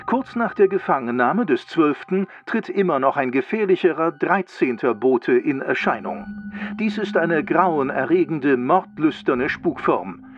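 A man's voice: a little high, expressive, a little slow, dull audio. An actor playing a solemn, officious male announcer reading alarming ghost bulletins in a children's audio drama. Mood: grave. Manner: formal, officious, grave. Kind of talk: scripted radio bulletin narration in a children's drama.

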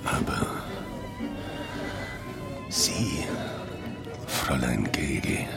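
Slow, creaky, amorous older boss. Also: male voice, rumbling low, huge pitch swings, music in the background, heavily acted. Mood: self-pitying, pleading. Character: amorous, self-pitying older male boss. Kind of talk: German radio play acting.

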